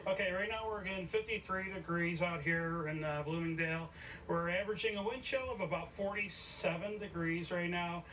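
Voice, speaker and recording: A man's voice: sits high, steady tone, brisk, sharp tone. Male weather watcher, plainly reading out measurements, distant pickup with light noise. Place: outdoors in a store parking lot. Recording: distant TV speaker re-recorded, noisy.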